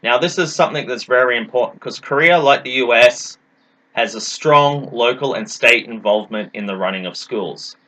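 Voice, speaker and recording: Bright-voiced man giving an explainer. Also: medium pitch, moderate pace, poor audio. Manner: didactic, emphatic, poses questions and answers them. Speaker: English teacher presenting a talk. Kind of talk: explanatory presentation.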